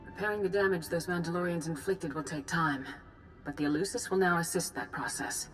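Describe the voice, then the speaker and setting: Actress as a low-voiced, grave Jedi master. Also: female voice, fairly quick, soft background music, natural voice, rough recording. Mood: grave, urgent. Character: grave, composed female Jedi master commanding a mission. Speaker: Jedi leader character in a video game. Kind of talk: formal dramatic mission briefing.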